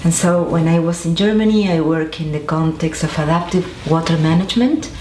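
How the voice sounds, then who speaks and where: Argentine-born woman scientist, low voice, echoey room. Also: brisk. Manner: thoughtful, many restarts and fillers. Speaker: interviewee, scientist. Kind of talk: conversational interview answers. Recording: echoey room with faint noise.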